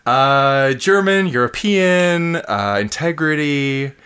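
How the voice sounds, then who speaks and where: Um homem apresentando um podcast numa conversa casual e cômica, falando com hesitações e recomeços e rindo com frequência. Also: male voice, fairly high pitch, expressive, fairly bright tone, clear, resonant tone. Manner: halting, stammering restarts, laughs often. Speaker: podcast co-host. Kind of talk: casual comedic chat, reading dating ads aloud.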